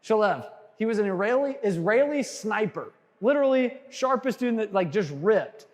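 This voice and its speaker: A man with a high voice works a crowd at a sales seminar, joking and asking questions. His voice carries a long reverb, as if he is speaking in a big hall. He sounds punchy and animated. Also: normal speed. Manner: animated, jokey, quizzes the crowd. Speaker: sales trainer speaking to a room. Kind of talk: energetic seminar talk to a live audience.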